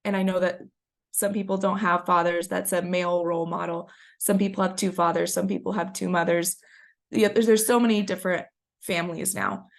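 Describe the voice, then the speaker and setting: Woman, 30s, webinar presenter, warm chatty storyteller, gravelly fry, raspy from a cold. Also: on the low side, fairly even intonation, fairly quick. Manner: chatty, storytelling, frequent ums. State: getting over a cold, a little scratchy. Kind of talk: conversational presentation.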